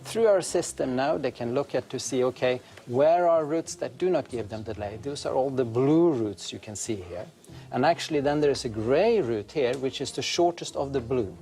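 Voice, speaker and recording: A man in his fifties speaks as an interviewed manager, explaining a technical process in a control room. His voice sits at an average male pitch. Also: normal speed, mellow, soft background music. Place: large open control room indoors.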